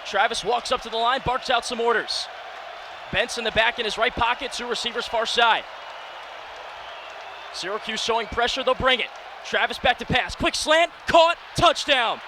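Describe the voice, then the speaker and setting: Young guy doing radio football play-by-play, high forceful voice, stadium noise behind him. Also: moderate pace, crowd noise. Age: early 20s. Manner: energetic, clipped narration. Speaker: play-by-play announcer. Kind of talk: live radio American football play-by-play commentary. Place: sports broadcast position inside a domed stadium. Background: stadium ambience.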